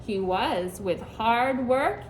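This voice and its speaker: A higher-pitched woman reading a storybook to a class of young children in a classroom, with a faint hiss under her voice. She stops often to ask questions and answer the kids. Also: lively intonation, very slow. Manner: asks the children questions and praises their answers. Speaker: preschool teacher. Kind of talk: reading a picture book aloud to a class.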